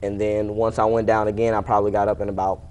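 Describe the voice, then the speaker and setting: A man's voice: fairly low pitch, little pitch movement, quick pace, darkish tone. A young American man in his late teens giving casual, plain-spoken interview answers, somewhat tentative. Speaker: interview guest, high school wrestler.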